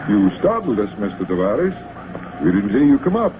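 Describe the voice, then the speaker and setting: An actor playing an unruffled, suave stage magician detective with calm, teasing showman's patter, in vintage radio drama style. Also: lowish voice, moderate pace. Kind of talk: 1940s radio drama acting. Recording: tinny, noisy old radio recording.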